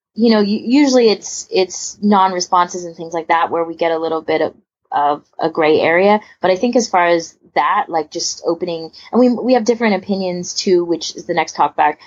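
A woman's voice: on the low side, moderate pace, highs cut off. A woman co-hosting a podcast in casual discussion, with a conversational, hedging, agreeable manner. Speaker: podcast co-host. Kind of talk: casual discussion, reading out listener comments.

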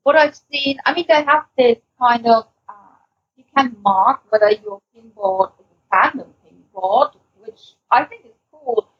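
A woman's voice: on the high side, unhurried, slightly rough, highs cut off. A woman co-hosting a podcast in casual chat, hesitant and searching for her words. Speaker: podcast co-host.

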